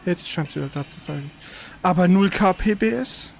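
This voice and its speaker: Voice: medium pitch, gravelly. Grumbling livestream host with background noise. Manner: grumbling, exasperated, halting. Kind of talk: casual livestream chat during technical trouble.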